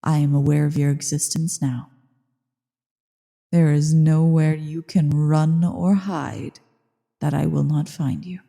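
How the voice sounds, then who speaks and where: A woman voicing an ancient evil female dragon in a fantasy ASMR roleplay, haughty, imperious and condescending, with deliberate phrasing and a breathy, gravelly, dark voice. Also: deep voice, steady tone, exaggerated voice. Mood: menacing. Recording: long cavernous reverb.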